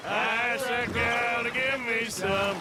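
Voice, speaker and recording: An actor playing a playful, folksy outlaw in a video game, drawling out folk song unaccompanied over loud water and boat noise. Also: male voice, extremely high pitch, steady tone, slow pace. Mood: merry. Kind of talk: video game cutscene acting and unaccompanied singing.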